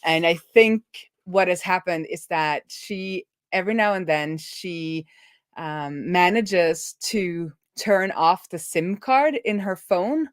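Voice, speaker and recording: A woman hosting a live coding stream, chatting informally and telling things in an animated, conversational way. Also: lowish voice, slow pace, dry recording, clean studio sound. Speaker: livestream host and web developer.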